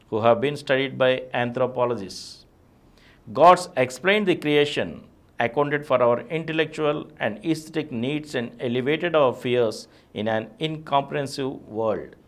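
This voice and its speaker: A middle-aged man with an Indian accent reads a scripted essay aloud as a presenter, measured and declamatory, stressing the openings of phrases. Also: mid-range voice. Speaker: presenter reading a text aloud. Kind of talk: scripted reading of a philosophy essay. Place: small video studio, indoors. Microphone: lapel mic.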